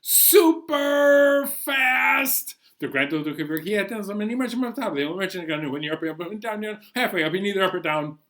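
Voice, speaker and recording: Animated man hosting children's storytime, bright voice. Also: high-pitched, a little fast, hardly any pauses. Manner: animated, encouraging. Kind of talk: sing-along and story introduction for children.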